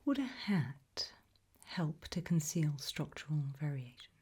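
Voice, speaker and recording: A woman whispering softly in an ASMR roleplay as a tailor, asking questions in a polite, measured, formal manner. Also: sits low, big pitch swings. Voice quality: soft, breathy unvoiced whisper.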